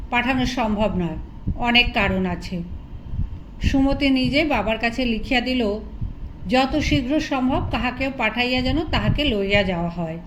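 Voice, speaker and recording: A woman reading a literary short story aloud as an audiobook narrator, in an expressive storytelling manner. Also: high voice, noisy background.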